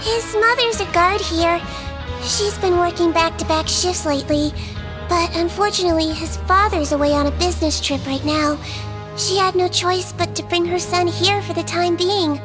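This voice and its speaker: A woman voicing a small, gentle creature who works as a nurse, speaking softly with a sly, teasing kindness. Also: very high-pitched, moderate pace. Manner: soft, kindly, quietly teasing. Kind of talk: game voice acting. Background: music.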